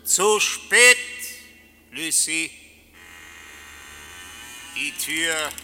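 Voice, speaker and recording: A man's voice: on the high side, animated, very slow, noisy background. A man acting in a radio play as a solemn, commanding figure of death, declaiming like an incantation. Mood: commanding, intense. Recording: long reverberant hall effect.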